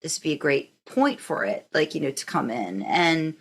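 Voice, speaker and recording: A woman's voice: deep voice, animated, normal speed, slightly rough. A woman hosting a casual group discussion, talking in a rambling, encouraging way. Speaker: podcast host.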